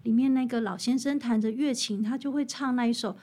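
A woman with a Taiwanese Mandarin accent, an author guest explaining music history in conversation with emphatic, animated storytelling. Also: on the high side, fairly quick.

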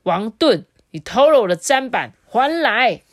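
A woman voicing an angry, squabbling shopkeeper brother who shouts furiously in a storybook reading. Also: fairly high pitch, expressive, a little slow, no echo, clean studio sound, voice pushed up.

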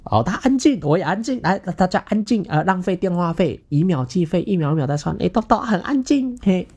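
A man's voice: high-pitched, lively intonation, fairly quick, a little mellow, slightly rough. A man in his thirties with a Taiwanese Mandarin accent, hosting a podcast and telling a personal story in a theatrical, playful way. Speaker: podcast host.

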